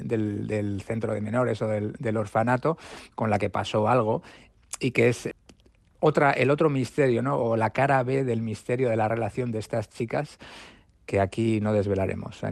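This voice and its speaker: A man's voice: on the low side, fairly even intonation, normal speed, gravelly, darkish tone, no echo, studio quality. A man with an accent from Spain in a thoughtful, analytical literary conversation as a guest, often adding tag questions. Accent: Spanish from Spain. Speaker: guest discussing a book.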